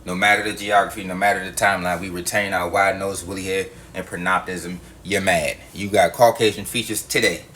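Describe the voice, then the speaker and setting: A man's voice: low-pitched, steady tone, brisk, hardly any pauses, bright, forceful tone, a little hiss or hum. A man delivering a heated monologue to his viewers, emphatic and profane, repeating his points. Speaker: video host.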